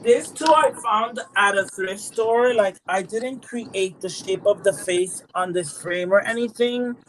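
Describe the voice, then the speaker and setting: A woman from Puerto Rico talks easygoing and loose as a guest on a show. Her speech is filled with "like" and "um". Clear background noise sits under her voice. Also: medium pitch, measured pace. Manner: relaxed, many fillers like "like" and "um". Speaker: guest practitioner. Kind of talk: casual show-and-tell chat. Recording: noisy livestream audio.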